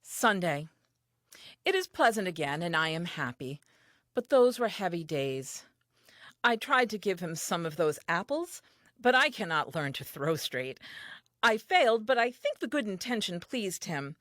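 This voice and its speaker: A woman's voice: lowish voice, big pitch swings, a little slow, a bit bright, no echo, studio quality. An actress playing an earnest, naive and tender first woman, telling her diary in an audio play with wide-eyed expression. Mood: wistfully happy.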